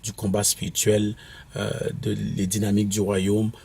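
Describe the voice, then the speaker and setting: A minister from Quebec, whose first language is English, answers interview questions in a halting way, with many restarts and fillers. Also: male voice, fairly low pitch, monotone, a little mellow, slightly rough. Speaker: apostolic minister and author.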